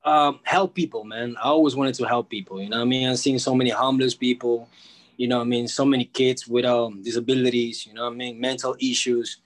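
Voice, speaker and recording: A man's voice: mid-range voice, steady tone, fast. Slangy New man in casual chat. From born in Peru, grew up in the United States from age two. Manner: slangy, peppers talk with bro, man and you know what I mean. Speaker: guest, freelance sports media journalist. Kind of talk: casual chat, telling personal stories.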